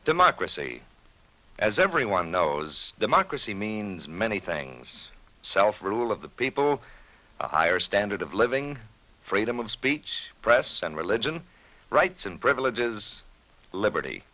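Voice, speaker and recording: A male announcer delivers a scripted message in vintage radio style. His voice is on the low side with strong rises and falls, solemn and declamatory. The recording is dull and aged. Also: very animated, a little slow. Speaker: announcer of a public service message.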